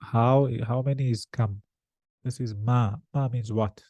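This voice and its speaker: A man's voice: mid-range voice, steady tone, unhurried, dark tone, no echo, muffled recording. A man teaching a language lesson one to one, explaining, correcting and translating phrase by phrase as he questions his student. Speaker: Arabic teacher.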